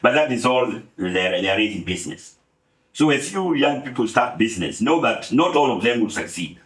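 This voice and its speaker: A man in his seventies from Kenya speaks at an unhurried pace, with dry jokes and frequent filler pauses. His voice sits in an ordinary middle range. The sound carries the echo of a roomy space. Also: mid-range voice, lively intonation. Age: 70s. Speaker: guest speaker. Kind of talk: relaxed storytelling talk.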